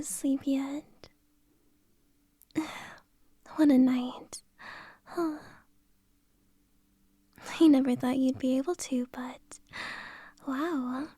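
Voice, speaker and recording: Woman playing a flirty teasing boss lady, high soft whisper, slow and unhurried, close mic. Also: no room sound. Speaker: ASMR roleplay performer. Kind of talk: whispered ASMR roleplay.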